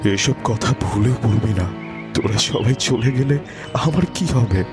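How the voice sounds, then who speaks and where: A fairly low-voiced, doting village father, played by a man in a cartoon story. He speaks with tender affection and gentle expression, over clearly audible music. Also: unhurried, lightly acted. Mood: sorrowful.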